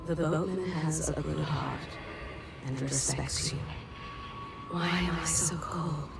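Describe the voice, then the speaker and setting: An actress voicing an eerie, mournful oracular spirit in a video game, solemn and detached. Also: female voice, low-pitched, fairly even intonation, slow, creaky, noisy background, exaggerated voice. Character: mournful, oracular female spirit whispering secrets. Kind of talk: slow, cryptic video game voice acting. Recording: loud music behind the voice.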